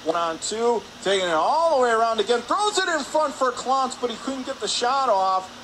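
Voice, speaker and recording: Guy calling hockey on the radio, high voice, short punchy phrases, noisy arena. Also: sing-song, normal speed. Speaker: play-by-play commentator. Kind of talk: live radio ice hockey play-by-play. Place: indoor ice hockey arena. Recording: noisy arena ambience behind.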